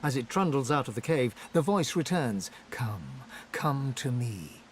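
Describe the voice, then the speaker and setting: An actor narrating a dark fantasy video game in a solemn, vivid storytelling style. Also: male voice, mid-range voice, big pitch swings, a little slow, a little hiss or hum. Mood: solemn, dramatic. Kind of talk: scripted second-person game narration.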